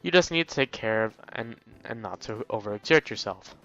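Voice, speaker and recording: A man's voice: fairly low pitch, expressive, brisk, fairly bright tone, muffled recording, faint music behind. A man voicing a friendly, chatty male school nurse who gives advice in a visual novel. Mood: friendly, cautioning. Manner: friendly, advising. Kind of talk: visual novel dialogue read aloud.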